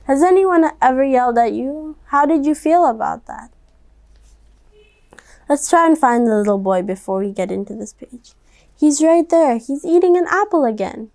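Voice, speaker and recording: A woman's voice: fairly high pitch, a little mellow. A woman reading a picture book aloud to children, conversational and speaking directly to her young listeners. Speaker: storybook reader.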